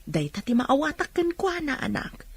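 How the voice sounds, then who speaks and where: A woman's voice: high voice, sing-song, moderate pace, dry recording. A woman acting as a patient, encouraging mother of a poor family in an Ilocano radio drama.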